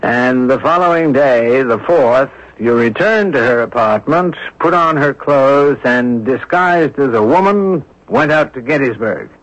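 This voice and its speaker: An actor playing a stern, probing male investigator in a radio drama, speaking deliberately and pointedly. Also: medium pitch, expressive, fairly bright tone. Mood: stern, disappointed. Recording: dull, lo-fi old radio recording.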